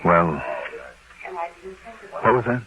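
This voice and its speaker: An American actor playing a weary, preoccupied insurance investigator in a 1960s radio drama, on a muffled, hissy old recording. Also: male voice, deep voice, lively intonation, drawn-out words, heavily acted. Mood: preoccupied, uneasy. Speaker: lead actor in radio detective series.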